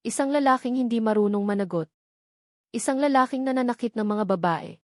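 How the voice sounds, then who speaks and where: A woman's voice: fairly high pitch, fairly quick, no echo, clean studio sound, close to an everyday voice. A woman voicing a remorseful, tender man in an earnest, pleading way, with a Filipino accent, in a narrated romance story. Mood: pained confession. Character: remorseful, tender adult man confessing his love.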